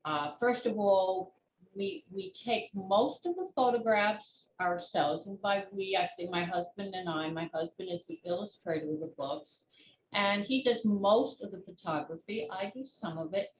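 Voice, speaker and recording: A woman's voice: mid-range voice, moderate pace. Older woman in an echoey, noisy room. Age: 60s or older. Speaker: children's book author and retired teacher being interviewed. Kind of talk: conversational interview answers. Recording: roomy echo with clear background noise.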